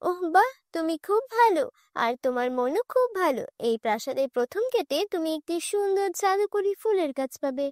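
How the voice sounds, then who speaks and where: A woman's voice: extremely high pitch, expressive, normal speed, darkish tone, no echo, clean studio sound. A woman voicing a righteous, solemn fairy in a cartoon fairy tale. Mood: kindly. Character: righteous female fairy, kindly to good, stern with wrongdoers.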